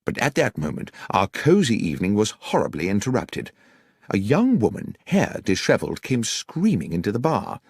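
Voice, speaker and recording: Deep, expressive male audiobook narrator. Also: normal speed, slightly rough, dry recording, studio quality. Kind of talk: dramatic scripted first-person story narration.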